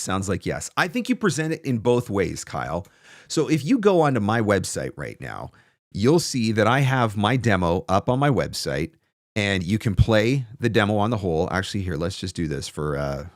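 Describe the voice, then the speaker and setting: A man's voice: lowish voice, very animated, moderate pace, no echo. A man in his forties hosting a casual advice livestream as a coach, conversational and emphatic, repeating phrases for stress, close on a desk mic in a home studio. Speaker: host and voice-over coach. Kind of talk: casual livestream advice, answering viewer questions. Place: small home studio, indoors. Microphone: close desk microphone on a stand.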